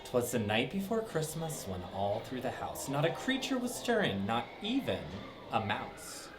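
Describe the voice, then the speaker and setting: Lively man reading a story to kids. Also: mid-range voice, normal speed, noisy background. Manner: lively, sing-song, with dramatic swoops. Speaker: storybook reader for children. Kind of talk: reading a rhyming story aloud.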